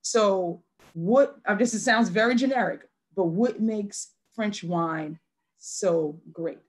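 A woman's voice: medium pitch, takes it slowly. Woman in her 30s hosting a wine tasting on a video call, chatty, laughs a lot. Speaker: host of a virtual wine tasting. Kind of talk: casual video call chat. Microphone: laptop video call.

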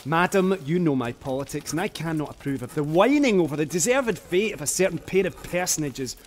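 A man's voice: a little high, expressive, fairly quick, a little hiss or hum, lightly acted. An actor playing a passionate, defiant poet, eloquently voicing his letters in a radio drama. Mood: defiant, indignant. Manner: impassioned, eloquent.

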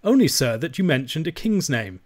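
Gentleman lawyer, voiced by a male narrator, courteous, formal, wordy, drily amused, audiobook character. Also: fairly high pitch, very animated, normal speed, voice pushed up. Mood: drily teasing.

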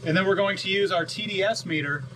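Man, 30s, higher voice, calm step-by-step how-to, tight boat cabin, camera a bit off, faint hum behind. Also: quick pace, distant mic. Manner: calm step-by-step explaining while working. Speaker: boat owner showing a repair. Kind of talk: hands-on how-to walkthrough. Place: cramped cabin of a sailboat. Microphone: action camera nearby.

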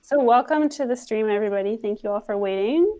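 A woman's voice: fairly high pitch, fast, mellow. A woman co-hosting a live coding stream over a video call, upbeat and welcoming as she introduces the guest. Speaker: co-host.

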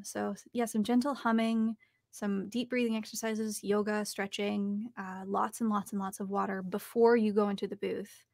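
A woman's voice: medium pitch, gravelly, a little mellow, no room sound, clean studio sound. A young woman giving casual, explanatory advice as an interview guest. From Canada. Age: young adult. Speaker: guest, professional audiobook narrator and voice actor. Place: home recording booth, indoors. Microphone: studio microphone on a boom arm.

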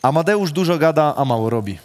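A man hosting a rapid question round, reading statements aloud with a Polish accent and casually swearing. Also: fairly high pitch, animated.

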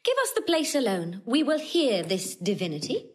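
An actress playing a proud, witty young countess in classical Shakespearean style, imperious, arch and articulate. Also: female voice, on the high side, big pitch swings, moderate pace, slight background noise. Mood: haughty, brisk. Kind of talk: classical stage acting of Shakespeare.

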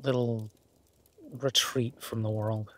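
A man playing an affectionate, easygoing werewolf boyfriend in an intimate roleplay audio, with a husky, breathy voice and a soft, teasing manner. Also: on the low side, little pitch movement, slow. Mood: content, romantic. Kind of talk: intimate boyfriend roleplay audio, casual one-sided chat.

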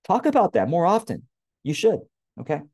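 A man's voice: a little high, huge pitch swings, brisk, darkish tone, breathy edge, no echo, clean studio sound. A man in his late forties with a Californian accent, giving casual, conversational advice as a coach and talking directly to the listener with informal emphasis. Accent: Californian American. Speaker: business coach. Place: small indoor room at home. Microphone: wired earphone headset on a webcam.

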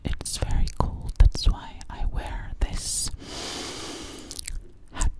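A young woman playing a sweet, slightly sassy alien girl in a soothing ASMR roleplay, whispering breathily close to the microphone and repeating her words. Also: very slow. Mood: soft, coaxing. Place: small dim living room, indoors. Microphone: close studio microphone with foam cover, binaural.

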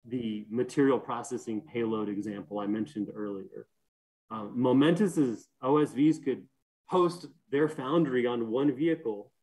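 A man's voice: mid-range voice, dark tone, poor audio. A young man giving a technical conference talk from home over a video call, explaining earnestly with many fillers and restarts. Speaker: conference speaker, systems engineer. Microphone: webcam or laptop mic on a video call.

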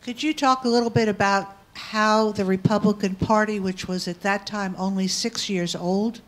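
A woman puts a spontaneous question to a panel from the audience. Her voice sits at a middle pitch. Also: steady tone, normal speed, poor audio. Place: auditorium audience.

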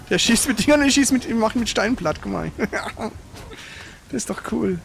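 A man with a high-pitched voice gives casual commentary while playing a video game. He speaks colloquially and throws in exclamations at the game. There is some faint noise behind him. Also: animated, moderate pace, vocal fry. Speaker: gamer, let's play host.

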